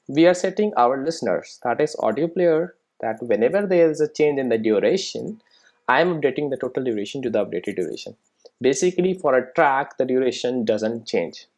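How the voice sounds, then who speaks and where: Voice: mid-range voice, a little mellow. A programming instructor talking through a coding tutorial step by step, informally, often checking in with short tag questions. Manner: informal, frequent check-in tags like "right?" and "make sense?". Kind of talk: screen-recorded coding tutorial, explaining step by step.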